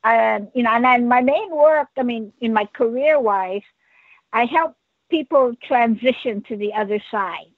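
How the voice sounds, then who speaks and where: A woman from Hawaii calling into a radio show, speaking in an earnest, measured way in short phrases. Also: a little high, dry recording, narrow, tinny audio. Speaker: caller. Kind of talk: call-in conversation. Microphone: phone or call-in line with earpiece.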